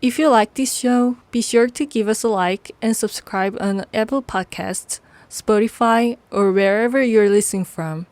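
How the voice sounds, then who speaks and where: A woman's voice: a little high, moderate pace, darkish tone, dry recording. A woman reading scripted podcast credits as the announcer, in an orderly, spelled-out way.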